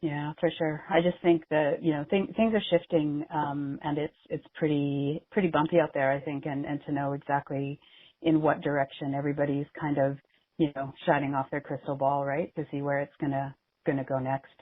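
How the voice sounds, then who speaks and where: A woman's voice: deep voice, level tone, normal speed, husky, no room sound. A woman moderating a business conference call, talking informally and thinking aloud as she goes. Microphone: telephone. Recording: muffled narrowband teleconference phone line.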